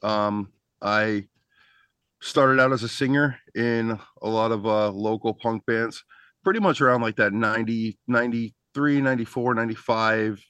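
Rambling middle-aged man, low voice. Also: moderate pace, a bit bright, clean tone, no room sound. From Pennsylvania. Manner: rambling, many restarts and fillers. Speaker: band singer and bassist. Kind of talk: casual interview chat.

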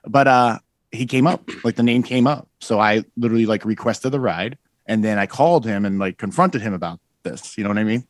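Low-voiced forty-something man rambling. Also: fairly quick, no echo. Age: 40s. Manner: rambling storyteller, many fillers and self-corrections. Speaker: guest musician. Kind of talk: casual podcast chat.

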